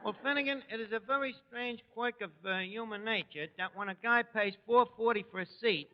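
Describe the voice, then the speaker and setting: An actor playing a brash, wisecracking New York tavern manager in 1940s radio comedy, with colloquial, mangled grammar. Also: male voice, high voice, fairly quick, natural voice. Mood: explaining. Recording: dull restored 1940s radio, hall reverb.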